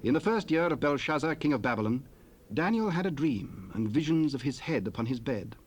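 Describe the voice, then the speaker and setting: Man narrating bible drama, measured and solemn, mid voice, lots of tape hiss. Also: mid-range voice, expressive. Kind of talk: scripted scripture narration for audio drama. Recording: hissy cassette tape rip.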